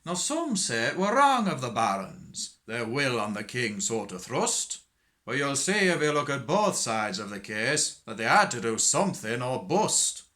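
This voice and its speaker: Guy doing a working class yarn spinner, comic rhyming monologue, tongue in cheek, swoopy pitch, bright. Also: very animated, measured pace. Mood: droll, singsong. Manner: singsong, theatrical, tongue-in-cheek. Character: droll working-class English storyteller reciting comic verse. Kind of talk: rhymed comic monologue recitation.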